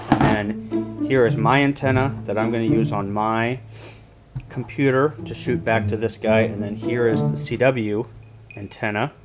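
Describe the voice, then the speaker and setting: American guy doing a casual hobby vlog, halting matter of fact talk, kinda slow, loud guitar music behind him. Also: on the low side. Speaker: ham radio hobbyist vlogger. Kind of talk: casual unscripted video walkthrough. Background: background guitar music.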